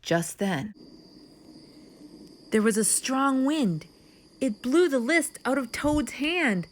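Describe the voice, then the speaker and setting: A woman reading a children's story aloud as narrator, in an expressive storytime style. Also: high voice, takes it slowly, a little hiss or hum. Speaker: storytime reader.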